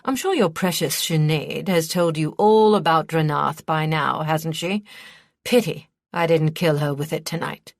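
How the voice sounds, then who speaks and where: A woman's voice: deep voice, measured pace, no room sound, studio quality. Woman voicing an icy, imperious noblewoman. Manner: blunt, imperious. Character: stern, icy, authoritative lady of a keep.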